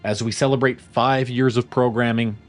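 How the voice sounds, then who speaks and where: A man presenting a scripted promotional outro with an animated, playful manner. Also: medium pitch, moderate pace, soft background music.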